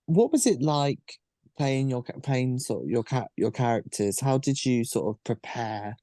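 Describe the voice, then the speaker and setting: A man hosting a podcast, interviewing casually with halting, repeated phrasing. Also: medium pitch, expressive, mellow, no echo, studio quality. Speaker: podcast host.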